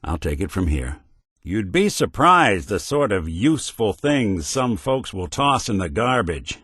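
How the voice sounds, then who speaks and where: A man's voice: lowish voice, sing-song, a little slow, fairly bright tone, breathy edge, no room sound, studio quality, heavily acted. A man voicing a world-weary, wry but kindly middle-aged android detective in a video game, with hard-boiled old-time noir talk. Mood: casual, businesslike.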